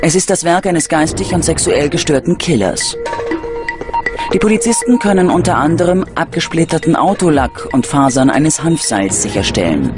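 A man narrates a true-crime documentary with a slightly high-set male voice. His delivery is scripted, measured and ominous, built for drama. Music plays clearly underneath. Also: sing-song. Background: background music.

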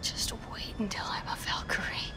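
A woman's voice: normal speed, bright, forceful tone, in a whisper, lightly acted. An actress playing a hot-tempered teenage warrior girl in a video game, eager and defiant. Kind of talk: video game cutscene acting.

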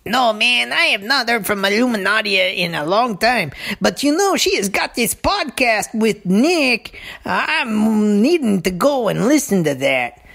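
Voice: medium pitch, sing-song, measured pace, vocal fry, few pauses, fairly bright tone, pitched above the performer's own voice, full character voice. A performer voicing a small, wary talking lizard in a comedy skit, repeating words and speaking in uncontracted phrases. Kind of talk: comedy puppet-style dialogue.

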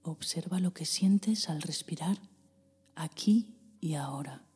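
A woman with a Spain Spanish accent, guiding a meditation in a slow, soft voice that often drops into a whisper. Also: sits low, big-room sound. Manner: slow, soft, breathy delivery with whispered passages. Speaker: meditation guide. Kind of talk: guided meditation.

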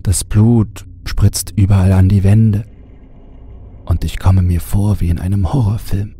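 A man narrating a horror audiobook in a deliberate, theatrical way, at times dropping to a hushed tone. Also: low voice, steady tone, a little hiss or hum. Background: music under the voice.

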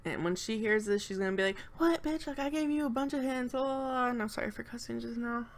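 A woman's voice: fairly high pitch, fast. A young woman with a congested, stuffed-up nose, talking casually and nervously over a game build at home, rambling with lots of fillers. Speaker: channel co-host.

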